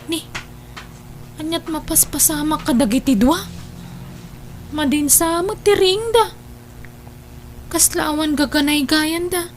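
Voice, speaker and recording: A woman with an Ilocano accent playing a devout, gently scolding younger sister in a radio comedy drama. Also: high-pitched, slow, pauses often, faint music behind. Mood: concerned.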